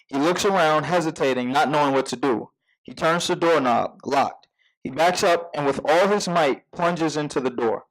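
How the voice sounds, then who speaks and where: A man with a fairly high voice narrates a screenplay. He reads scene headings and stage directions as scripted narration at a table read. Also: low-quality recording.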